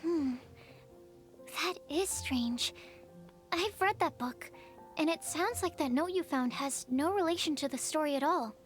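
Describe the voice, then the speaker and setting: Shy bookish alchemist, very high voice, hesitant, soft music behind. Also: female voice, unhurried. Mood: thoughtful. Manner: timid, hesitant, hedging. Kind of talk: game voice acting.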